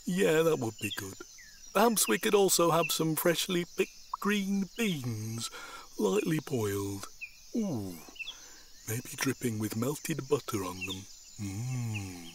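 A man's voice: medium pitch, expressive, slow pace. A male actor playing a naive, simple creature with rustic grammar in an audio drama. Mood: content. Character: childlike, simple creature guarding its ancestral park. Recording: audible outdoor nature noise.

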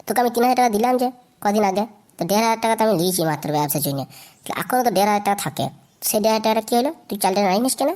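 A woman's voice: medium pitch, animated, rapid-fire, darkish tone, natural voice. A woman voicing a crude, blustering village husband in a comedy cartoon. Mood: puzzled, indignant. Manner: blustering, crude, wheedling turning abusive. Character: hot-tempered, crude, boozing Bengali village husband.

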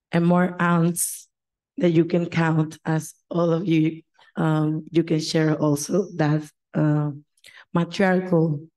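Slow, low-voiced woman from the Dominican Republic. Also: warm tone. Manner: measured, many pauses and fillers. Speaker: panelist. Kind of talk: panel discussion. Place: large conference stage.

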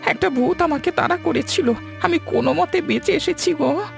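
A woman's voice: high-pitched, normal speed, creaky, music in the background. A woman voicing a frightened, whimpering village husband in a horror-comedy cartoon, pleading and panicky. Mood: plaintive.

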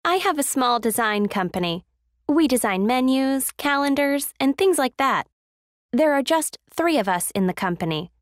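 Friendly small-company boss, played by a woman, high voice, learner-audio small talk, dry studio sound. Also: lively intonation, normal speed, no echo. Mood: friendly, upbeat. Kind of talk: scripted small talk for an English listening exercise.

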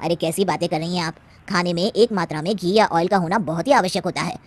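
A woman's voice: lowish voice, quick pace, close to an everyday voice. Woman as matter-of-fact middle-aged cartoon doctor. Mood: grave. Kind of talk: Hindi cartoon moral-story acting.

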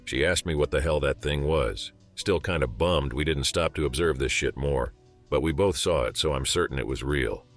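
Very deep-voiced male narrator. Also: moderate pace, faint music behind. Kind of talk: scripted narration of a reader story.

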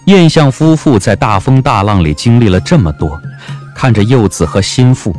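A man narrating a novel as an audiobook, with measured, literary and dramatic phrasing. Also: medium pitch, animated, darkish tone. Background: background music.

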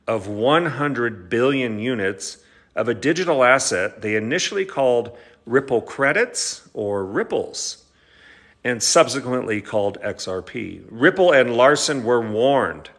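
A middle-aged American man reads formal legal material aloud in the role of an attorney and educator. His pitch sits in the middle for a man. The delivery is stop-and-start, with short asides to explain terms. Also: a bit bright. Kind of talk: reading a legal document aloud with brief asides. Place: large indoor living room with high ceiling.

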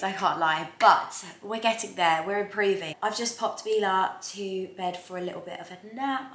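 A woman's voice: mid-range voice, fairly bright tone. Chatty woman with a stuffy cold. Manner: chatty, laughs between phrases. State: congested with a cold. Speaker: vlogger. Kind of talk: casual chat to camera. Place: bright living room, indoors. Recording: echoey living room.

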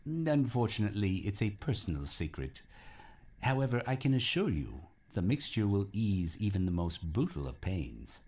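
A man voicing a polite, assured male apothecary in an audiobook, with a courteous, deliberate salesman's patter. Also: lowish voice, very animated, darkish tone, breathy edge, full character voice. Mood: assuring.

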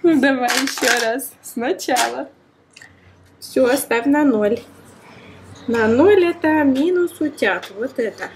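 A woman's voice: high-pitched, slow pace, noisy background. A woman chatting playfully to a child as she demonstrates a toy, full of exclamations, oohs and gasps. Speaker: toy review host, mother playing with her child.

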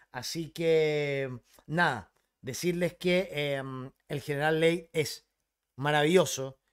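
A man in his fifties from Chile with a Chilean accent, hosting a casual unboxing video from a dark home studio on a headset mic, eager and repetitive with many hesitations. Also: fairly high pitch. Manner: enthusiastic, repetitive, frequent hesitations. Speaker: YouTube host.

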